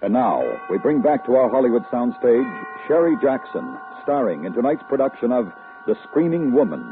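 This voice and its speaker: A man's voice: mid-range voice, very animated, normal speed, music in the background. An American man announcing from a script in a formal, emphatic 1950s radio style. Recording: tinny 1950s radio broadcast.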